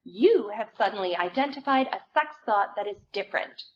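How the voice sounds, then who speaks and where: A woman's voice: mid-range voice, lively intonation, moderate pace. A woman giving a dramatic reading of a book excerpt at a live event. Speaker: theater reader. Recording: dull recording with clear background noise.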